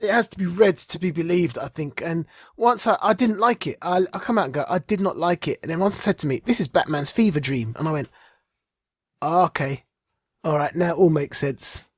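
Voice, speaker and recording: A man with a husky voice, chatting casually as a podcast host and reviewer. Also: fairly high pitch, expressive, brisk, dry recording, clean studio sound. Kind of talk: casual chat, comics review.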